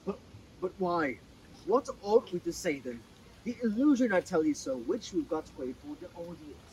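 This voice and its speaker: An actor in a dramatic play reading, playing a sceptical, exasperated theatre director who is brusque and scoffing. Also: male voice, fairly high pitch, expressive, moderate pace, a little mellow, natural voice, poor audio. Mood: puzzled, defensive. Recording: faint background noise.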